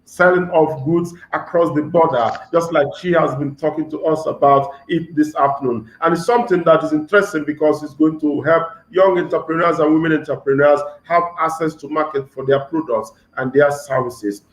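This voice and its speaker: A man hosting an interview show, speaking deliberately and repeating web addresses slowly. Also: a little high, steady tone. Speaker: talk show host. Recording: echoey room.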